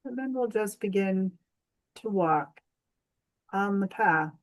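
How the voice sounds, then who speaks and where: Very slow, gentle woman guiding meditation. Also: medium pitch, animated. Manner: gentle, soothing, unhurried with long pauses. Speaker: meditation guide. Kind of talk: guided meditation.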